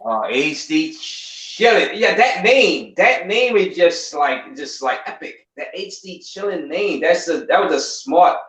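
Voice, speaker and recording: A man's voice: fairly high pitch, expressive, a little slow, few pauses, fairly bright tone, roomy sound. A man on a live stream, chatting casually, laughing often and repeating himself. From United States. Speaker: YouTuber guest on a live stream.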